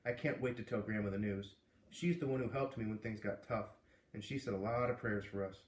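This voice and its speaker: A man narrating a children's book aloud in an expressive storytelling style. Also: lowish voice, a little fast, roomy sound.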